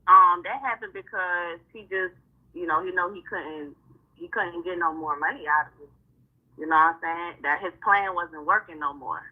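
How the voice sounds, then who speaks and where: A hoarse woman singer talking heatedly in a casual livestream chat, venting in slangy speech. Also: on the low side, moderate pace, narrow, tinny audio. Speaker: singer and guest.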